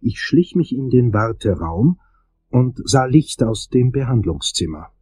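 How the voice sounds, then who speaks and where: A man playing a hard-boiled investigator, narrating a crime audio drama in a husky, dramatic, suspenseful way. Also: mid-range voice, lively intonation, a little slow, a little mellow. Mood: tense, brooding. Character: hard-boiled male investigator telling his dark case.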